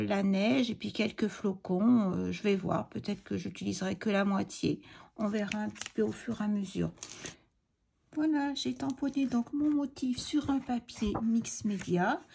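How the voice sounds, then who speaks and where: A middle-aged woman with a cold, hoarse and breathy, chatting hesitantly and often half-whispering as she explains a crafting tutorial. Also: on the high side, a little mellow. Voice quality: raspy, breathy, gravelly. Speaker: card-making tutorial host.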